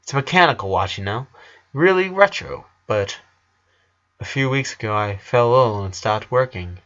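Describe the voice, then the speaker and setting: A man's voice: medium pitch, lively intonation, normal speed, a bit bright, highs cut off, natural voice. Man voicing a chatty, wistful teenage boy. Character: weary, friendly fourteen-year-old boy, well-travelled.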